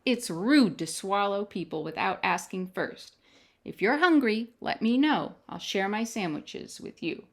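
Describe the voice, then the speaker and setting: A woman's voice: mid-range voice, expressive, a little slow. A woman voicing an earnest, polite young storybook child with a questioning lilt and exaggerated politeness. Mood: gently scolding.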